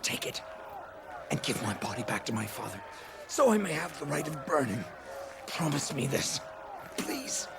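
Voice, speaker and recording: An actor playing a dying, honorable warrior prince in a video game, pleading in a strained voice. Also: male voice, fairly high pitch, big pitch swings, moderate pace, creaky, long pauses, background noise. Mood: desperate pleading. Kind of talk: dramatic game cutscene acting.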